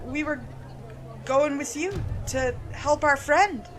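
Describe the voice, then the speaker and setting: A woman's voice: high voice, slow, a faint crowd, slight background noise, voice pushed up. A woman voicing a sceptical, uneasy teenage boy talking casually to his friends in a horror story. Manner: casual, incredulous. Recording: music behind the voice.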